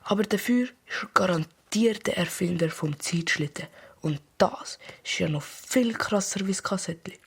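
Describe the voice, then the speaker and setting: A woman's voice: medium pitch, normal speed, slightly rough. A woman playing an eager, affectionate young boy with a Swiss accent in a children's radio play. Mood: conspiratorial.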